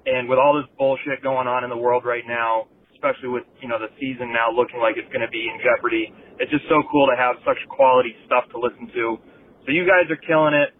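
A narrow, tinny phone recording of a man leaving a voicemail for a show. He talks casually in a mid-pitched voice. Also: steady tone, quick pace, a little hiss or hum. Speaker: voicemail caller.

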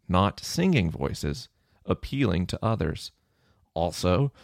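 A middle-aged man with a low, chesty voice, hosting a podcast and casually explaining research in answer to a listener question. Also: no echo, studio quality. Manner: conversational, thinking aloud with fillers.

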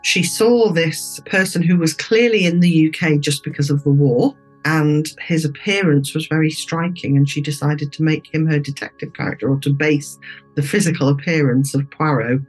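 Female interview commentator, breathy-rough voice, conversational and thoughtful explanations. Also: low voice, moderate pace, faint music behind, low-quality recording.

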